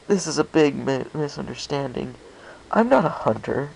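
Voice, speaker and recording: Male reader as easily embarrassed young colt hero, animated, apologetic, a bit of creak. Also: fairly high pitch, moderate pace, a little hiss or hum, pitched above the performer's own voice, rough recording. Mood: flustered. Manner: apologetic, flustered. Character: awkward, polite, easily flustered young male pony.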